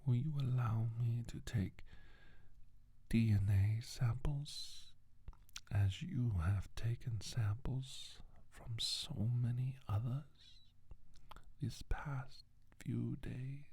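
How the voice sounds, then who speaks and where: A man playing a menacing, smug alien scientist in a soft-spoken ASMR roleplay, talking in a deliberate, condescending way. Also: mid-range voice, husky. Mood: coolly clinical.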